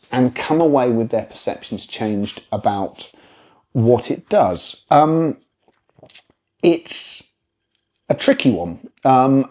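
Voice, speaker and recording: A British man with a low-pitched, very mellow voice presents a review to camera. He talks conversationally but articulately, thinking through his points in a measured way and dropping in dry asides. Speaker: product reviewer presenting a video.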